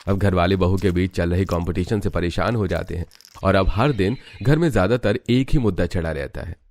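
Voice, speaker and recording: Low-voiced male cartoon story narrator. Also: fairly quick, a little mellow, a little hiss or hum.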